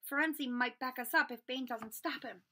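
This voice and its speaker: A woman's voice: high-pitched, normal speed, sharp tone, pitched above the performer's own voice. A woman playing an anxious schoolboy wizard in a storybook, speaking with insistent, earnest urgency. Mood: urgent.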